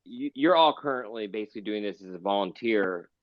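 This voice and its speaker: A man with a lowish voice asks casual interview questions, feeling his way through them haltingly. The audio is dry and a little dull. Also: expressive, no room sound. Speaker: interviewer, co-host. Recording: slightly dull online audio chat.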